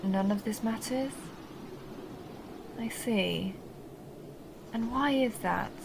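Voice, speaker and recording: A woman's voice: a little high, takes it slowly, gravelly, noisy background. A woman playing a seductive, possessive vampire countess who teases and condescends in an intimate audio roleplay monologue. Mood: musing, taunting. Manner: teasing, mocking, intimate purring address.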